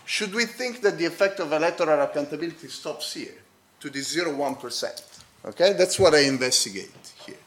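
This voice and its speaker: A man with a non-native accent presenting an academic paper at a conference. He speaks haltingly, with fillers and restarts, and checks in with the audience using tags like "okay?". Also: on the high side, lively intonation, a bit bright. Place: conference hall.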